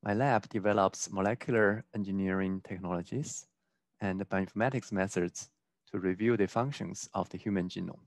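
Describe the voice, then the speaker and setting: A man from China, who runs a research lab, introducing himself calmly and matter-of-factly. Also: sits low, normal speed, no room sound. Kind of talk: scripted self-introduction.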